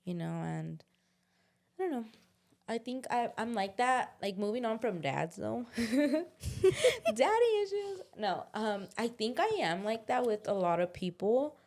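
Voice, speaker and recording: A woman's voice: on the high side, big pitch swings, unhurried, darkish tone. Young woman, podcast co-host; casual slangy chat, confessional, quotes her own inner voice.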